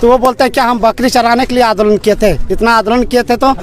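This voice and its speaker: High-pitched young man with Jharkhand accent. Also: steady tone, quick pace. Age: young adult. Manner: aggrieved, pleading. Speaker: student protester. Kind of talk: impassioned street interview.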